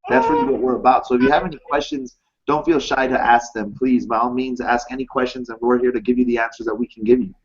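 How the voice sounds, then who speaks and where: A man's voice: mid-range voice, fairly even intonation, fast, highs cut off, a crowd in the background. A thirty-year-old man, a teacher and coach, talking earnestly and reassuringly with many fillers on a live video call. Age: 30s.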